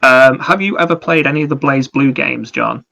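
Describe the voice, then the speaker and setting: A man's voice: mid-range voice, normal speed, vocal fry, sharp tone. A man co-hosting a podcast, chatting casually and explaining things in a rambling, enthusiastic way. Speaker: podcast co-host. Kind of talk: casual chat about anime and games.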